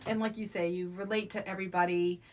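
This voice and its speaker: A woman's voice: mid-range voice, steady tone, quick pace. Middle-aged woman, chatty podcast co-host. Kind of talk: casual movie discussion.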